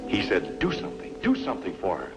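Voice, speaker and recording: Noir radio hero: hard-boiled, remorseful man, low-pitched with lively swings, music underneath. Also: quick pace, rough recording. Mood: grave, confessional. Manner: terse, grave, dramatic. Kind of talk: old-time radio drama acting.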